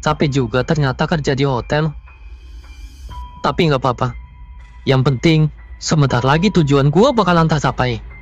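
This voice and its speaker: A man voicing a bold, vengeful hotel worker with a secret plan in an animated horror comedy, speaking in a dramatic, menacing way. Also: fairly high pitch, normal speed, long pauses, lightly acted. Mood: weary, determined. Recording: dull, lo-fi sound with music.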